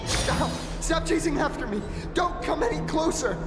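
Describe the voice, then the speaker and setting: A man's voice: very high voice, expressive, moderate pace, loud music behind the voice. Grandiose, fervent male explorer. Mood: panicked. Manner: grandiose, theatrical declaiming. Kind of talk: video game voice acting.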